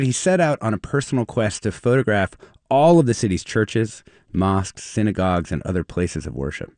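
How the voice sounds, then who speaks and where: Animated male talk show host, low voice, dry sound. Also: dry recording. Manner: animated, emphatic intros. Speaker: radio host. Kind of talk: radio talk show hosting.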